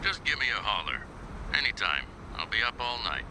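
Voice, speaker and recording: A man's voice: on the low side, big pitch swings, a little fast, gravelly, sharp tone, a little hiss or hum, full character voice, low-quality recording. An actor playing a helpful, easygoing man in a video game, talking folksily over a walkie-talkie. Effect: walkie-talkie radio. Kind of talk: naturalistic video game acting.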